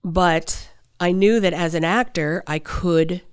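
Picture a woman with a slightly low voice telling backstage stories from her acting career. She talks animatedly and laughs often, answering an interviewer at length. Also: slow pace, clean tone. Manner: animated anecdotes, frequent laughter. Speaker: actress guest. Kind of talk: interview storytelling.

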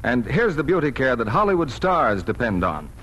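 A man's voice: mid-range voice, sing-song, normal speed, a bit bright, low-quality recording. A man announcing scripted 1940s radio advertising in a polished, persuasive manner. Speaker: commercial announcer. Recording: old radio recording, faint noise.

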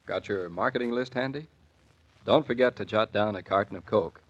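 A man's voice: lowish voice, normal speed, breathy edge. A man announcing a sponsor's commercial in friendly, folksy 1940s radio style. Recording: muffled old 1940s radio recording.

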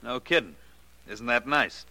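An actor in a 1940s radio drama playing a tough, wisecracking dancer with slangy, curt, streetwise speech. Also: male voice, mid-range voice, unhurried, pushed and bright, poor audio. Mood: cool, sardonic. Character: tough, wisecracking male nightclub dancer under suspicion.

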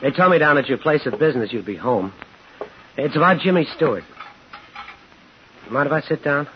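An actor playing a determined, angry war veteran in a 1950s radio thriller, terse and insistent. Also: male voice, fairly high pitch, lively intonation, moderate pace, a little hiss or hum, close to an everyday voice. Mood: pressing, grim. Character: determined, angry young war veteran hunting his brother-in-law's killer. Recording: dull 1950s radio broadcast with music.

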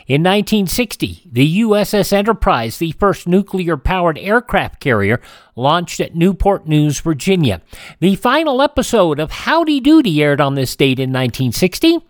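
A male radio host delivers a conversational rundown from prepared notes. His pitch moves around in a lively way, and the sound is close and dry, with very little room. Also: no room sound. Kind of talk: conversational scripted history rundown.

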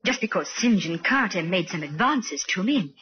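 An actress playing a theatrical lady with crisp diction in an old-time radio drama. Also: mid-range voice, animated, normal speed, natural voice. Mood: anxious. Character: earnest young wife and imperious grand stage actress. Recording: dull old radio recording.

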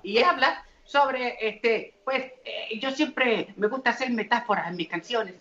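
A man's voice: very high-pitched, unhurried, sharp tone. A man from the Dominican Republic, a singer and comedian, chatting as an interview guest with many hesitant fillers and restarts. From raised in the Dominican Republic. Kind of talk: casual interview chat. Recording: echoey and dull.

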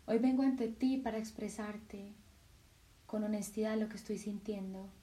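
A woman leading a guided meditation in a soft, soothing voice, with slow sighing breaths between phrases. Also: mid-range voice, steady tone, recorded from a distance.

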